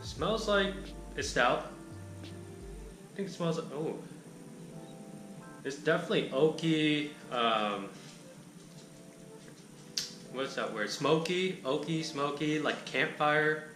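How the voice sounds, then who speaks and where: A man reviewing a beer in a relaxed, rambling way with a raspy voice. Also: fairly high pitch, slow, long pauses, reverberant, music in the background, rough recording. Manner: laid-back, rambling, lots of filler words. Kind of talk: casual chat.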